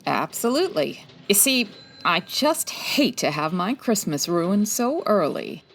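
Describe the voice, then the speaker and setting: Woman acting as a lively, low-voiced wry lady detective. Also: unhurried, vocal fry, slight background noise, heavily acted. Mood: coy, teasing. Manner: wry, teasing, arch. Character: wry, quick-witted 1940s female private detective. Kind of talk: comedy audio drama acting, old-time radio detective.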